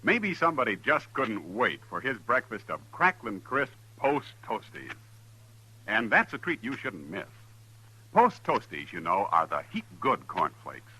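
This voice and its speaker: An old radio recording of an American man announcing with emphatic salesmanship. His voice is piercingly bright, slightly rough and animated in pitch. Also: measured pace, rough recording. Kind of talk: 1950s radio announcing, scripted.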